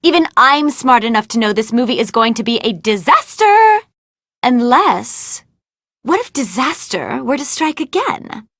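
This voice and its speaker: A woman's voice: on the high side, very animated, measured pace, fairly bright tone, no room sound, full character voice. A woman voicing a vain, ditzy young movie actress in an adventure game, gushing and theatrical in a gossipy way. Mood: frantic, excited. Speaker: video game voice actor. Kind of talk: animated adventure game dialogue.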